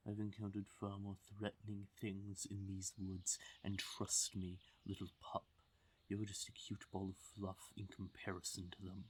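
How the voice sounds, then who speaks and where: Male actor as regal, taunting fae king for fantasy audio roleplay. Very unhurried, husky and whispery, condescending, quietly menacing. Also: low-pitched, exaggerated voice. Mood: menacing, belittling. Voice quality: breathy, often dropping to a whisper. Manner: condescending, slow purring menace, theatrical. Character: haughty, teasing male fae king of the forest. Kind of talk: fantasy audio roleplay, speaking directly to listener.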